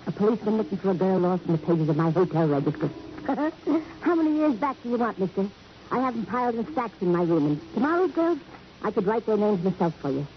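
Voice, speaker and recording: A woman's voice: medium pitch, lively intonation, lightly acted. Sardonic weary hotel keeper played by a woman. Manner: sardonic, insinuating, drawn-out musing. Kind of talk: 1950s radio crime drama acting. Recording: muffled old radio recording, faint noise.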